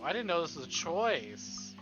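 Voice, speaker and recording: A man's voice: a little high, sing-song, unhurried, a bit bright, dull audio, soft background music. A man streaming a visual novel, reading it aloud theatrically with playful, casual asides.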